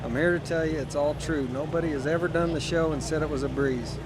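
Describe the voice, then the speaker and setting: A man's voice: a little high, fairly even intonation, fast, heavy background noise. A man, a rancher and former dance show contestant, talking plainly in a folksy way during an outdoor interview. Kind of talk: red carpet interview.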